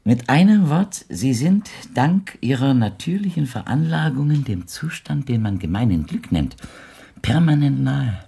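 Slow, gravelly, courtly blind widower. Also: male voice, medium pitch, sing-song, dark tone, full character voice. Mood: flattering, observant. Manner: flowery, poetic, formally polite, hesitant. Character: courtly blind widowed former musician, ingratiating and observant. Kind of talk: German radio drama acting.

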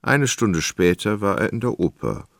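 A man narrating a literary radio play in a measured, grave manner. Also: on the low side, very animated, gravelly, a bit bright, dry recording, studio quality.

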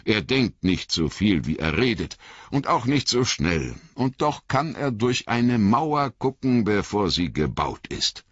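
A man reading an audiobook voices an old wizard who speaks gravely and kindly, slow and measured. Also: lowish voice, big pitch swings, fairly bright tone, no room sound, muffled recording, heavily acted.

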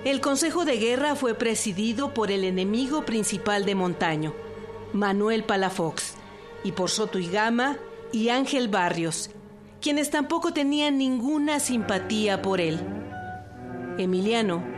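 A woman's voice: fairly high pitch, expressive, unhurried, music in the background. A woman giving scripted historical narration.

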